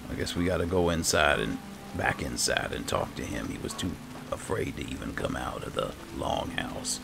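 A man's voice: extremely low pitch, expressive, normal speed, creaky, over music. A man giving casual roleplay commentary as a gaming video host, close on the microphone.

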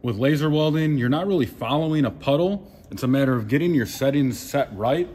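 Husky-voiced man explaining welding. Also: fairly high pitch, brisk, warm tone. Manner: plain, practical explanations. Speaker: welder. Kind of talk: instructional shop talk. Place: indoor welding workshop.

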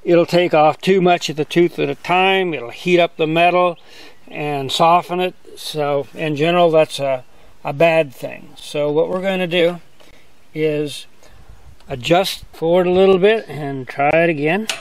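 An older man giving a relaxed, step-by-step outdoor demonstration as a sawmill instructor, talking in a plain, easygoing way. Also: on the high side, measured pace. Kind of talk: hands-on demonstration. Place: outdoors on a grassy lawn.

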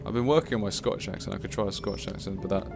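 A man's voice: lowish voice, rapid-fire, creaky. A man casually commentating his gameplay, chatty and self-mocking, swearing at himself when stuck. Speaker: let's play commentator. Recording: game music playing behind.

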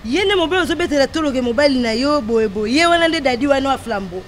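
A woman's voice: high voice, animated, quick pace, a little hiss or hum. An actress playing an outspoken, scheming young woman advising her friend in filmed theatre dialogue, with a Congolese accent. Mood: reproachful. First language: Lingala. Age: young adult. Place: outdoors in a walled garden.

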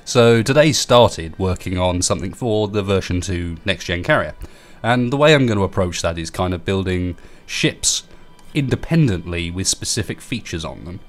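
Deep-voiced man with a stuffy cold. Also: moderate pace. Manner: relaxed, rambling, self-deprecating. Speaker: gaming YouTuber. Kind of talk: casual game build tour.

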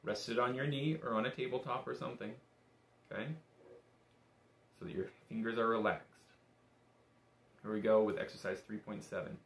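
A man's voice: fairly low pitch, steady tone, moderate pace, pauses often, a bit bright, a little hiss or hum. A young man giving a casual, patient, step-by-step music lesson in an informal, encouraging way. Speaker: bagpipe teacher. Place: small indoor room. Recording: echoey small room.

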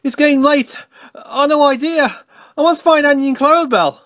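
A man's voice: high voice, very animated, measured pace, fairly bright tone, no echo, studio quality, pitched above the performer's own voice, full character voice. A man voicing a fussy, boastful storybook steam engine who blusters impatiently and gets easily flustered.

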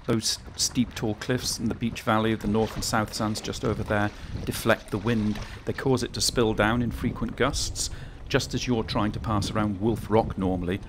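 A man in his sixties filming himself aboard a small open sailing boat on an estuary. He talks in a relaxed, chatty, self-mocking way, with many fillers. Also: lowish voice, moderate pace, hardly any pauses. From England. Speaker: sailing vlogger. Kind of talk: casual vlog narration. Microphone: action camera mounted on the boat. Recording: clear wind and water noise.

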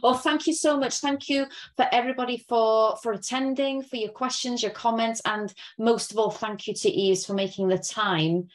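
A woman's voice: fairly high pitch, fairly even intonation, normal speed, hardly any pauses. A young woman as host giving thanks and closing remarks on a video call, friendly with light laughs, through a laptop mic. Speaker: event host.

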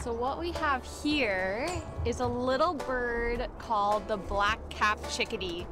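Female nature guide, twenties, fairly high voice, informal teaching with music behind. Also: slow pace. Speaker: community program coordinator, nature guide. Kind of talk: informal educational explanation to camera. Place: outdoors in snowy woods.

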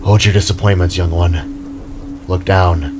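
A man voicing a grave, wise elder being of legend in a storybook narration, speaking in a measured, solemn way. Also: deep voice, steady tone, over music, voice pushed down. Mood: calm, commanding.

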